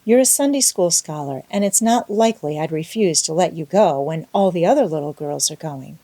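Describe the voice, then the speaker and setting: A woman's voice: low voice, lively intonation, a little fast, dark tone, dry recording. A woman voicing a stern, practical middle-aged farm woman in an audiobook, brusque and no-nonsense. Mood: scolding. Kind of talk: audiobook character voice.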